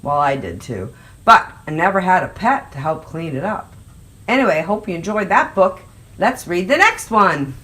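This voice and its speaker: A woman with a slightly low voice reads aloud for young children as a story time host. She takes her time and lands key words with dramatic emphasis, her pitch rising and falling widely. The room around her sounds echoey. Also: sing-song, normal speed, fairly bright tone. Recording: roomy, echoey indoor sound.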